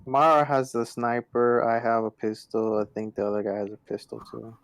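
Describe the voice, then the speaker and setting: A man's voice: medium pitch, level tone, normal speed, creaky, dry recording. A man playing a tabletop game, chatting casually in a matter-of-fact way.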